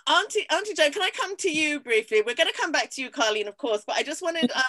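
A woman's voice: high voice, lively intonation, fast talker, fairly bright tone, dry recording. A woman hosting a community event on a video call, asking guests friendly questions. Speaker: host.

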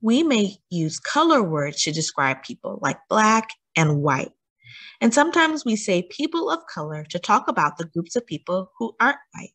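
A woman reading a children's picture book aloud as a story time host, with deliberate, teacherly emphasis and questions to young listeners. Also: medium pitch, big pitch swings, a bit bright.

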